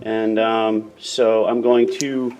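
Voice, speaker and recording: A man's voice: lowish voice, level tone, takes it slowly, a little mellow, a little hiss or hum, low-quality recording. An American man giving a casual hands-on demonstration as an instructor, explaining plainly in small steps and checking in as he goes.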